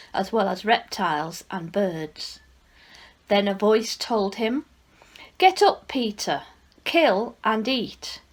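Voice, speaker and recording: Slow, higher-pitched woman reading scripture. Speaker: scripture reader.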